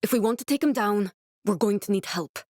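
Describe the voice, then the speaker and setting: An actress playing an earnest, guarded woman scientist in a video game, speaking in a measured, serious, instructive way. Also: mid-range voice, a little mellow, dry recording, clean studio sound, lightly acted. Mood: focused, briefing.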